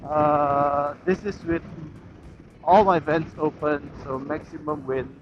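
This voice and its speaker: A man's voice: on the high side, steady tone, slow. A man chatting casually and thinking aloud as he rides a motorcycle, recorded on a helmet intercom mic. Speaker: motorcycle vlogger. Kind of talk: unscripted casual chat while riding. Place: outdoors on a moving motorcycle, inside a helmet. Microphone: helmet intercom microphone. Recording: muffled helmet mic, loud wind and traffic.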